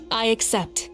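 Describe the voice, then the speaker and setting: A woman playing a composed, confident female warrior leader in a video game, speaking in a measured, gracious way. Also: sits high, sharp tone, exaggerated voice. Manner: measured, poised. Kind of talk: video game character acting.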